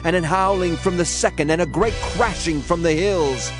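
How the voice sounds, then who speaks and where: A man's voice: fairly high pitch, normal speed. A man giving a solemn, dramatized audio Bible reading with declamatory emphasis. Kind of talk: dramatized scripture reading in archaic English. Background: background music.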